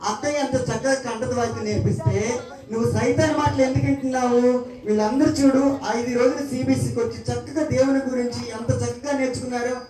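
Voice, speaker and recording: A woman's voice: high voice, fairly even intonation, fast talker. A woman hosting a live puppet show for children, questioning them in a teacherly way. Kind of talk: live children's ministry presenting. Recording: echoey hall, distant mic, music, crowd.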